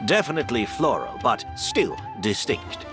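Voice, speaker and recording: An actor playing a modest, kindly older master perfumer in a video game, speaking gently and courteously. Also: male voice, mid-range voice, wildly swooping pitch, moderate pace, music in the background. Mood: explanatory.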